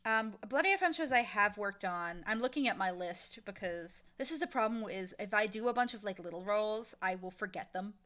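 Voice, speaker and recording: A woman being interviewed, talking in a casual, informal and animated way about her work. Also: medium pitch, brisk, hardly any pauses. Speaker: interviewee, audio drama showrunner and voice actor.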